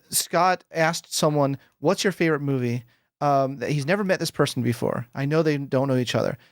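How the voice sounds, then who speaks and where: A man's voice: medium pitch, no echo, studio quality. A man in his forties, a guest psychologist, talking animatedly and enthusiastically with lots of fillers and laughter. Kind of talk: casual interview chat. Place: home room with bookshelves. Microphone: headphones with boom microphone.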